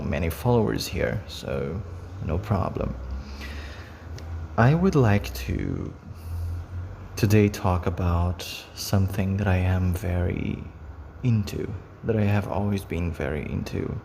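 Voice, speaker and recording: A Brazilian man with a Portuguese accent talks to camera at a slow, unhurried pace, his low voice carrying a gravelly edge. He rambles and repeats phrases, filling gaps with ums and you knows. The voice has a long reverb tail with faint noise behind it. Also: a little mellow. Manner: rambling, repeats himself, many fillers. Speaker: vlogger. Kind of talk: unscripted talk to camera.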